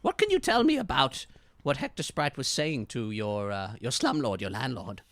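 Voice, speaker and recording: A pushy Irish detective with thin hair and a goatee, played by a man who pitches him up and pushes him louder than his own talk. He accuses and sputters with a bright, forceful edge, like a tabletop game master doing voices. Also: very animated, normal speed, creaky, no echo. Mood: probing. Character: thin-haired male detective, pushy and blustering.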